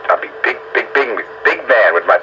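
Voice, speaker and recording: A man's voice: lowish voice, expressive, brisk. A man in a casual radio chat as an amateur operator, often repeating his words for emphasis. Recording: dull lo-fi radio signal with static.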